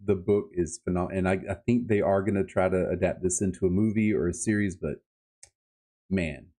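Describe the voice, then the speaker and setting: A man with a fairly low voice hosts a casual book-review chat. He speaks in an enthusiastic, colloquial way, leaning on his words for emphasis as he shares his opinions. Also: steady tone, moderate pace, mellow. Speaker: podcast host reviewing books.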